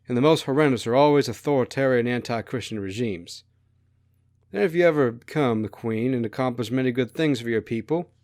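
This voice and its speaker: A man's voice: medium pitch, a little mellow, lightly acted. A man voicing a blunt, probing republican who argues against royalty in a story.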